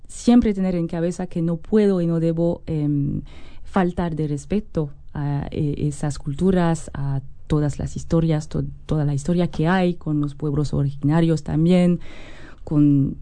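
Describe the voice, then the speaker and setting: French woman, French accent, low pitch, dark warm tone, hesitant restarts, dry sound. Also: steady tone, unhurried, dry recording. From France. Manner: hesitant, searching for words, many restarts. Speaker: musician guest. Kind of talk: interview.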